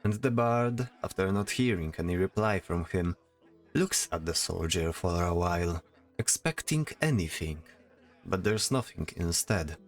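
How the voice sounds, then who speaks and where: A man's voice: deep voice, expressive, measured pace, soft background music. A man narrating a scripted fantasy audio drama as its storyteller.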